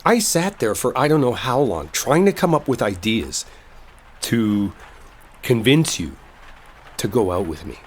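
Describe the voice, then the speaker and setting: A man acting an earnest, lovestruck coworker in an intimate roleplay audio, speaking in a halting, colloquial, confessional way. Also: mid-range voice, very animated. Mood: flustered, pleading. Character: earnest lovestruck male coworker clearing up a misunderstanding. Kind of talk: intimate dramatic roleplay audio, one-sided conversation. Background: rainstorm ambience.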